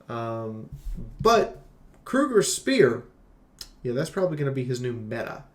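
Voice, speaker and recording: Male game reviewer, mid-pitched, rambling and casual with animated slangy asides, recorded in an echoey room. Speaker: video game reviewer and streamer. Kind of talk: casual unscripted game commentary.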